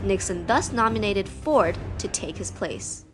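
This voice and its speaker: Female narrator, medium pitch, scripted read, music clearly underneath. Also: animated, measured pace.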